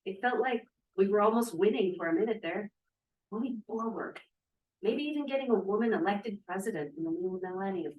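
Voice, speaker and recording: A woman's voice: medium pitch, expressive, brisk, phone-quality sound, lightly acted. A woman acting an idealistic, somewhat disappointed activist in a script read-through. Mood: hopeful, wistful. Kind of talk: table read of a play script. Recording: echoey room, distant microphone.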